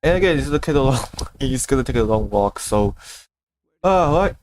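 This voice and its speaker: A man livestreams a video game with casual, think-aloud commentary. His voice sits at an ordinary pitch, with game music playing clearly behind him. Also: lively intonation, fairly quick, a little mellow, a little hiss or hum. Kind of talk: casual live gameplay commentary.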